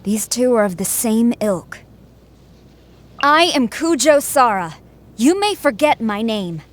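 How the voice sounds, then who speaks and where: A woman voicing a stern, dutiful young general in a video game, with a formal, composed and resolute manner. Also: on the high side. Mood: resolute, commanding. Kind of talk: scripted video game character voice lines.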